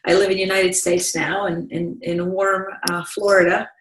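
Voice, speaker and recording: A woman in her thirties, a speaker telling her life story calmly as an interview guest, often restarting her sentences. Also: on the low side. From Bosnia. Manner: calm, measured storytelling with frequent restarts. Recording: distant, roomy sound.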